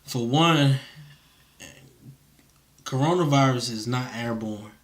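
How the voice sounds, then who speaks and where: A man with a mid-pitched voice talks in a casual, conversational way. His phrasing is informal and slangy, and he repeats short phrases to stress a point. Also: slow. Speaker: interviewer.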